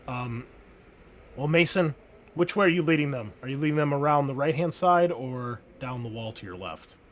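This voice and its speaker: A man's voice: mid-range voice, normal speed. Laid-back man running a tabletop game. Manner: relaxed, explaining with many fillers and restarts. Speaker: game master.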